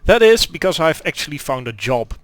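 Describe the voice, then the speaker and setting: A young man with a Dutch accent, Dutch his first language, commenting on a gameplay replay in a halting way with many fillers and restarts. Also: a little high, very animated. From Netherlands. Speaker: gaming commentator.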